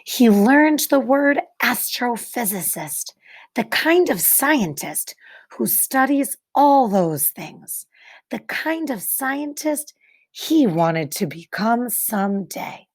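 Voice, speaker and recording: Woman, slightly high voice, unhurried pace, expressive storytime narration, deliberate pauses, teacherly emphasis. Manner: deliberate, dramatic pauses and emphasis for young listeners. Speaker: teacher reading a picture book aloud. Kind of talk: expressive children's read-aloud narration.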